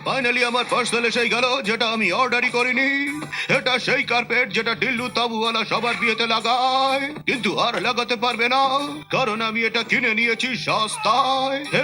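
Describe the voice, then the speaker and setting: A man's voice: very high voice, heavily acted. A man voicing a silly, easygoing and lazy younger-brother cat in a comic cartoon dub, chatty and naive. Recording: loud music under the voice.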